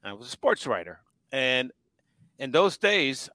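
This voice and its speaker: Bright, dry-sounding older man. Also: medium pitch, sing-song, measured pace, no echo. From Puerto Rico. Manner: storytelling, chuckles often, many restarts. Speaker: guest broadcaster. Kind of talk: casual interview chat.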